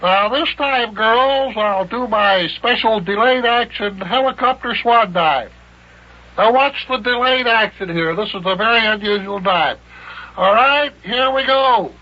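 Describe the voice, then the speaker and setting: A man voicing a vain, flattering male show-off in a children's radio comedy. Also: sits high, measured pace. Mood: boastful. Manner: boastful, flattering, showy. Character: Yuki, vain show-off male athlete charming the girls. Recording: muffled old radio recording.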